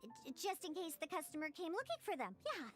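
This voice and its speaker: A very high, bright voice of a huffy little cat girl tending bar. The delivery is cutesy and animated, with swooping intonation in video game style, over light music. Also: brisk, exaggerated voice. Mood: flustered. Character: small feisty young cat-girl bartender teaching a card game.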